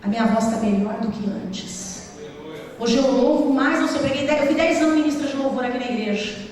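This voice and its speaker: A woman's voice: a little high, darkish tone. A woman pastor from the São preaching to a congregation, telling testimony in a conversational way with emphatic exhortations. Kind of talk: animated testimony sermon. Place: church hall during a service. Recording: echoey hall, distant mic, faint noise.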